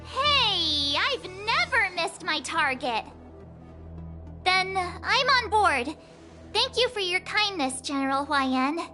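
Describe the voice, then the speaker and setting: A woman voicing a perky, unsure teenage girl apprentice in a video game, with a bubbly, hesitant, expressive manner. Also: very high voice, takes it slowly, music in the background, heavily acted. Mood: cheerful.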